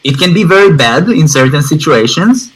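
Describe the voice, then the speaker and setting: Male interview guest, Israeli accent, non-native English. Slightly high, bright, forceful voice; informal, emphatic, repetitive for stress. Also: lively intonation, moderate pace, slightly rough, poor audio. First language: not English, which is a third language. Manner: emphatic, repeats phrases for stress. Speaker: interview guest, online advertising student.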